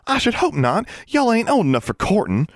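A man voicing a prim, excitable young girl with an Ozark drawl. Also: high-pitched, a little fast, dry recording, clean studio sound, pitched above the performer's own voice, heavily acted. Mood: scandalized. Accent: Ozark drawl put on. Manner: excitable, old-fashioned, drawling.

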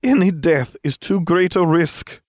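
A man's voice: a little high, lively intonation, a little slow, a little mellow, no echo, studio quality, voice pushed up. A man doing a stern headmistress. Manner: clipped, exasperated. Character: stern older female headmistress, strict.

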